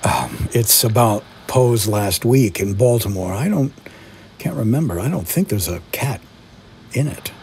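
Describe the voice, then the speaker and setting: A man's voice: fairly low pitch, very animated, unhurried, darkish tone, a little hiss or hum. A man hosting a mock podcast interview in a conversational way, polite and a little hesitant.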